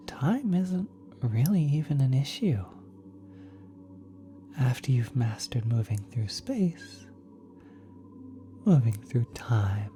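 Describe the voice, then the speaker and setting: Casting: kind, ancient celestial caretaker acted by a woman, very mellow, whispery and tender, ASMR style over faint ambient music. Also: rumbling low, exaggerated voice. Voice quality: soft, breathy, often whispered. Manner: gentle, reassuring, hesitant, coaxing. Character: kind, nurturing ethereal celestial being, ancient and motherly. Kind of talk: ASMR roleplay, soft whispering to listener. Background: spacey ambient music.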